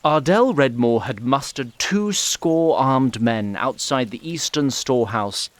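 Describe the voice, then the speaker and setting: A man's voice: mid-range voice, expressive, slow pace. A man narrating a scripted fantasy audio drama in a literary, wry storytelling manner.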